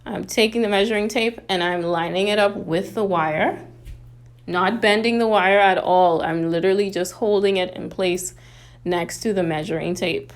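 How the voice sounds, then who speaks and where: A woman's voice: medium pitch, steady tone, normal speed. A woman from the Bahamas with a Bahamian accent hosts a casual, chatty how-to craft tutorial, talking through each step.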